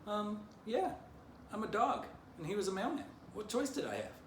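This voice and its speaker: An excitable storybook dog played by a man, rambling and begging with very lively swings in pitch. He is eager and pleading. The recording is roomy and distant, with clear background noise. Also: moderate pace. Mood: matter-of-fact indignation. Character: excitable, pleading, window-loving male pet dog. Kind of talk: children's storybook character voice. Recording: distant mic, roomy, noisy.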